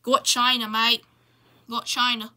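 A woman's voice: a little high, little pitch movement, measured pace, pushed and bright. A woman voicing Australia as a cheerful, knife-happy Aussie bloke in an improvised comedy skit, brash and matey with an Australian accent. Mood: menacing, cheerful. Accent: Australian, put on. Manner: brash, matey, repetitive slangy phrasing.

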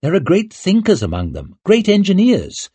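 A man voicing a cool, ruthless young noblewoman with a crisp, commanding manner, raised well above his own voice. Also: sits high, wildly swooping pitch, warm tone, no echo, pitched above the performer's own voice, full character voice. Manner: crisp, commanding, quick to argue.

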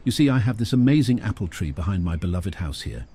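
A man's voice: sits low, big pitch swings, fast, warm tone, breathy edge, heavily acted. Man doing a gushing posh old lady. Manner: theatrical, gushing, says darling constantly.

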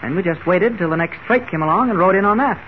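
An actor playing an earnest, determined reporter in 1940s radio drama style, speaking emphatically, on an old radio recording. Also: male voice, high-pitched, fast talker, lightly acted. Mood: matter-of-fact. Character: earnest, determined young newspaper reporter, male. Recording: muffled, noisy 1940 radio recording.

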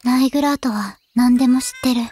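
A woman playing a quiet, deadpan little girl of few words in a Japanese anime audio drama. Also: high-pitched, fast talker, slightly rough, faint music behind. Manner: deadpan, terse.

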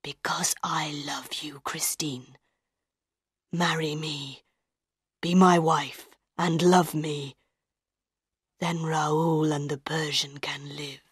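A woman voicing an ugly, tormented, lovesick man in an audiobook, in a slow, hushed, breathy whisper, menacing yet pleading. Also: low voice, steady tone, no room sound, clean studio sound, pitched below the performer's own voice, heavily acted. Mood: pleading, obsessive. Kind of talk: audiobook character voice.

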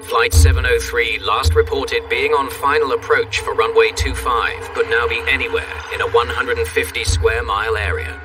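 Male documentary narrator over music. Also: mid-range voice. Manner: measured, dramatic. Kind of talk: scripted narration. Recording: music bed, distant sound.

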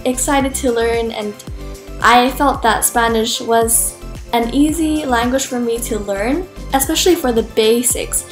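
A woman's voice: a little high, a bit bright. A teenage girl who grew up speaking Cantonese, earnestly hosting a casual language lesson to camera and mixing in filler words. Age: teens. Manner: earnest, switching between English and Cantonese with frequent fillers. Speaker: language channel host. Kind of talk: casual teaching vlog. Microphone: camera at close range facing her. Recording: echoey room with faint music.

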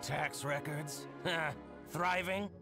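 An actor voicing a sly, spiteful shop owner in a video game, with an exaggerated, oily, gloating delivery. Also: male voice, fairly high pitch, fairly even intonation, extremely slow. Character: sly, spiteful, theatrical male boutique owner. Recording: music behind the voice.

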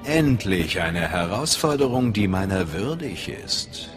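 Deep, haughty Sith apprentice. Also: male voice, big pitch swings, measured pace. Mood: cocky. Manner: haughty, sardonic. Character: cocky, sardonic male Sith apprentice. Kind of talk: scripted video game acting in German. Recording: long reverb, loud music under voice.

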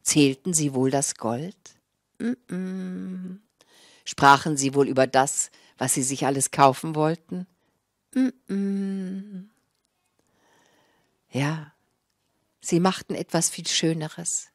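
Storyteller, woman in her sixties. Lower register, dramatic and savouring live delivery, little room sound. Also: no room sound, studio quality. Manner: dramatic, savouring delivery with repetitions and drawn-out words. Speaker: fairy-tale storyteller. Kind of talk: live oral storytelling for an audience. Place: small indoor room with curtained stage and small audience. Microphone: handheld microphone held close.

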